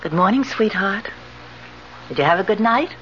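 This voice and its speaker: An actress playing an affectionate but easily indignant younger wife in a 1970s radio drama, theatrical and expressive. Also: female voice, mid-range voice, normal speed, dull audio, lightly acted. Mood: cheerful. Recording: noisy, band-limited 1970s radio recording.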